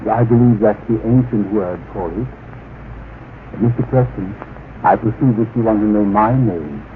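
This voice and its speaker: An actor in an old radio drama playing a serenely superior mind-reading stranger, speaking formally and pedantically. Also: male voice, fairly low pitch, moderate pace, natural voice. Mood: condescending. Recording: muffled, narrow-band old radio recording, hissy.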